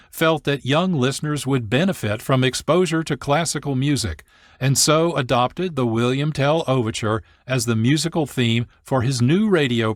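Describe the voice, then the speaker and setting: A man's voice: mid-range voice, no echo, studio quality. A man hosting a radio program with scripted documentary narration. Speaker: producer and host.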